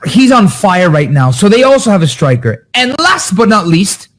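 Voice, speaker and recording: A man talking as a soccer analyst in a casual podcast chat, animated and lively. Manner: animated, quick pitch jumps when excited.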